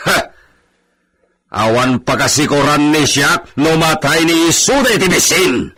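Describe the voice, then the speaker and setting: A man's voice: on the high side, a little slow, crowd noise, natural voice. An Ilocano-accented man acting a haughty, vindictive hacienda owner in a radio drama, barking and ordering people about imperiously. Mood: bitter, vindictive. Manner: imperious, barks orders at servants. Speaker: actor in a radio comedy drama.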